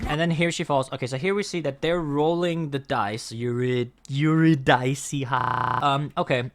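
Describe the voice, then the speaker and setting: A man with a mid-range voice and a Danish first language talks through a music reaction. He rambles, restarts sentences and leans on fillers as he thinks out loud. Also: medium pitch, measured pace, creaky. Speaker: reaction video host. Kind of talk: casual music reaction commentary.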